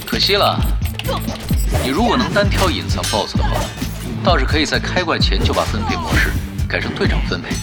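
A male actor voices a shrewd gamer in an online game radio drama. He is unflappable, dry and analytical, yet his pitch moves freely. Loud music plays under the voice. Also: sing-song, a little fast, natural voice. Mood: coolly teasing. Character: shrewd, unflappable male gamer, calmly calculating, dryly teasing. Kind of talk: Chinese audio drama acting.

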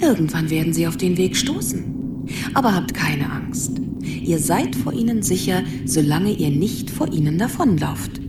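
A woman's voice: on the low side, sing-song, normal speed, very noisy. An actress playing a wise, protective female donkey in a radio play, earnest and reassuring as she cautions. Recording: distant voice in loud ambient sound.

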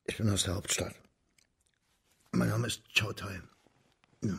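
An actor playing a reserved, level-headed Chinese colonel in a radio drama, terse and restrained. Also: male voice, lowish voice, steady tone, measured pace, gravelly, a bit bright, husky, exaggerated voice.

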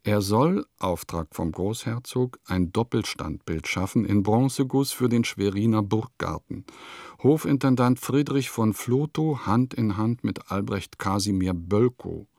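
Radio play chronicler, low gravelly voice, mellow, terse, wry, note-like delivery. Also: male voice, unhurried, dry recording, clean studio sound. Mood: dry, wry. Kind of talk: scripted radio play narration.

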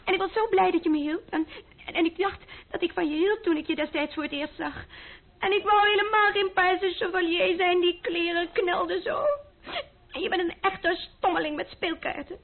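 A woman's voice: very high voice, moderate pace. An actress playing an emotional, reproachful young woman in love in an old radio play, stammering and pleading melodramatically. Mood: tearful, reproachful. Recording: narrow-band old radio recording.

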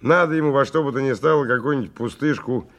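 A man's voice: medium pitch, fast, a bit bright, lightly acted. An actor playing a weary, wry young lab assistant who tells his story in a colloquial, confiding monologue for a radio play. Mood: musing, wry.

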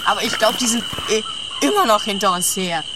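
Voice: mid-range voice, animated, fairly quick, natural voice. An actor playing a curt, exasperated companion on the run in a comedy radio play, speaking in a dry, terse way. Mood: brisk, curt. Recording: clear outdoor night noise, insects and owls.

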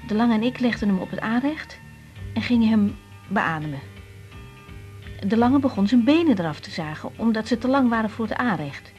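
A woman's voice: fairly high pitch, animated, normal speed, a little mellow, dull audio, soft background music, lightly acted. An actress playing a harried, emotional young woman doctor in a radio drama, speaking expressively. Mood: confiding, troubled. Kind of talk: Dutch radio drama acting.

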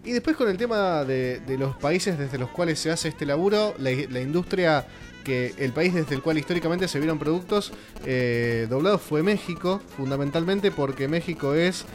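Talkative Argentine radio host, higher voice. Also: male voice, lively intonation, normal speed, soft background music. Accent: Argentine Spanish. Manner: talkative, explaining at length with many fillers. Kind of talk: casual radio panel talk.

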